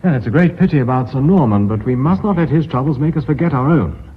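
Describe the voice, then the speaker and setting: A man acting in a radio drama as a brisk, decisive businessman in charge of an embattled firm. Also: mid-range voice, animated, breathy edge. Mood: businesslike. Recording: muffled lo-fi radio recording, faint hiss.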